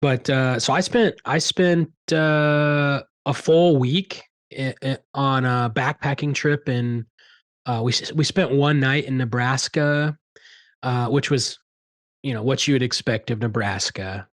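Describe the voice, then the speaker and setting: Man hosting a podcast, relaxed. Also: medium pitch, a little slow. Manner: relaxed, hesitant with fillers. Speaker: podcast host. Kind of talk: casual chat.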